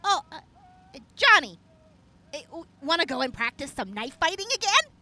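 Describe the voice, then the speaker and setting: A woman's voice: sits high, big pitch swings, moderate pace, pushed and bright, heavily acted. A woman playing a brash, sarcastic young wastelander in an audio drama, scornful and drawling. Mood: impatient, eager.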